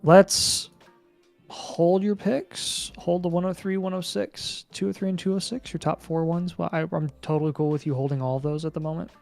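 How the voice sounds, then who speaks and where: A man co-hosting a casual livestream, giving brisk, terse advice item by item. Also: on the high side, fairly even intonation, gravelly, a little mellow, faint music behind. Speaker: co-host.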